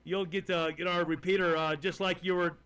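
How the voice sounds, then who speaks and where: A man with a Texan accent running a ham radio net, folksy and halting, with many fillers. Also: high voice, little pitch movement, a bit bright, clean tone. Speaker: net control host.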